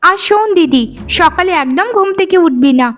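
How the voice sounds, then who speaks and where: Casting: woman as bossy young cartoon schemer, high and animated, giving conspiratorial orders, faint music underneath. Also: normal speed. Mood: cunning, bossy. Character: scheming, bossy young sister plotting against old woman. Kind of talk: cartoon moral-story dialogue.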